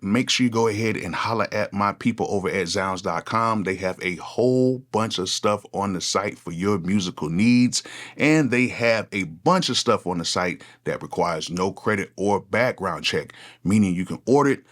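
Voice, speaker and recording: Forties male producer, deep low voice, relaxed unscripted vlog chat. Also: normal speed. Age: 40s. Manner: casual, conversational, repeats "go ahead" often. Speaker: music producer, channel host. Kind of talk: unscripted one-take talk to camera.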